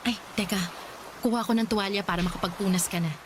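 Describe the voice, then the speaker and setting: A woman's voice: mid-range voice, fast, noisy background, lightly acted. A woman playing an affectionate, teasing young wife in a radio drama. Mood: caring.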